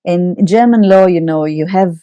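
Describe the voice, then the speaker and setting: A middle-aged woman with an Israeli accent, a psychoanalyst guest in an interview, telling her story candidly with halting pauses and wry laughs. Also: fairly low pitch, mellow, clean tone, no echo, dull audio.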